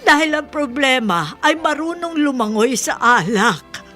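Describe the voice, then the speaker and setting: A woman's voice: high-pitched, animated, a little slow, pushed and bright, exaggerated voice. An actress playing a worried older Filipino mother, pleading and admonishing in radio drama style. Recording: faint music behind.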